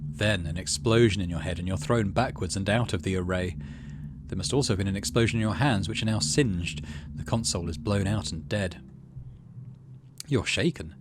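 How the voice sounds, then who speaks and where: A middle-aged man doing let's play commentary, muttering asides and reading game narration aloud in a measured storytelling way. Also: sits low, noisy background. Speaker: gaming video host.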